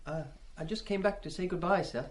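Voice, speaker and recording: Shy, higher-voiced schoolboy. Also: male voice, fairly quick. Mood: shy, eager. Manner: polite, diffident, boyish. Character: eager, shy schoolboy, respectful to his master. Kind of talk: radio play acting.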